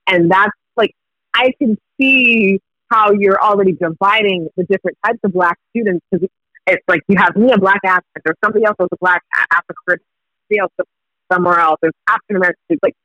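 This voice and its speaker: A man's voice: high voice, fast, bright, forceful tone, no room sound, dull audio. A Kenyan-born man whose first language is Swahili, telling a story in casual chat with laughter.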